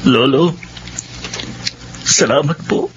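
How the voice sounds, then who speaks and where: A man's voice: mid-range voice, slow, lightly acted. A man playing a repentant young man in a radio drama, earnest and respectful. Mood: grateful, earnest. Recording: dull audio with music underneath. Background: music.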